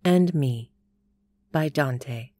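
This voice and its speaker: A woman narrating a listener's written story in a calm, slow, measured reading voice. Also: extremely low pitch, no room sound, soft background music.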